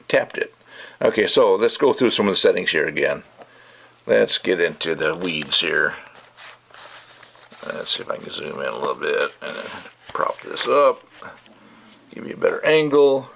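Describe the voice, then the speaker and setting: A man's voice: fairly low pitch, fairly bright tone. A middle-aged man giving a casual, unscripted hands-on product review, rambling and thinking aloud as he goes. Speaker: audio gear reviewer.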